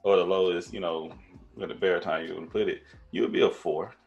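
A man's voice: sits low, steady tone, fast talker, vocal fry, dull audio, soft background music. A man chatting as a podcast guest in an easygoing, teasing way. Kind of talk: casual conversational chat.

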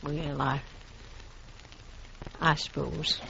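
An actress playing a feisty, stubborn frontier widow in a 1950s radio western, with a folksy, plainspoken American manner of speech. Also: female voice, sits low, flat delivery, drawn-out words, vocal fry, exaggerated voice. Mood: grudging. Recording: dull, noisy old radio recording.

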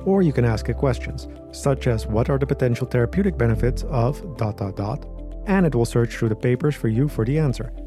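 A man co-hosting a science podcast, reading sponsor lines and asking the guest calm questions. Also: mid-range voice, brisk, music in the background. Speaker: podcast co-host. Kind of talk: interview and sponsor read.

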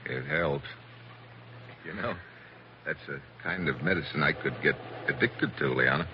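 An actor playing a wry, tough special agent in a 1940s radio adventure, talking in casual, sardonic slang. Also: male voice, a bass voice, a little slow. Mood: wry, flirtatious. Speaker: lead actor of adventure series. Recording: muffled, noisy 1940s radio recording.

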